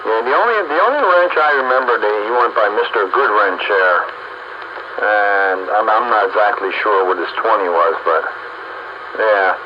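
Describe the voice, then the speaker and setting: A man in casual conversation over a two-way radio link. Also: on the low side. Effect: two-way radio transmission. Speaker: amateur radio operator. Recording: dull, noisy two-way radio.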